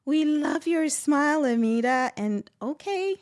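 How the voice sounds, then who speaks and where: A middle-aged woman talks in a reflective, personal conversational style. Her pitch sits in the middle for a woman. She is expressive and animated, slipping in small dramatised asides as she goes. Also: measured pace. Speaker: guest.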